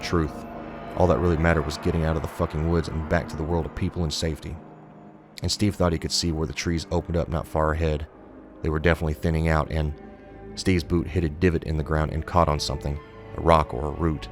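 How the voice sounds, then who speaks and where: Very deep male horror narrator. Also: level tone, fairly quick, music in the background. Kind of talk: scripted narration, suspense fiction.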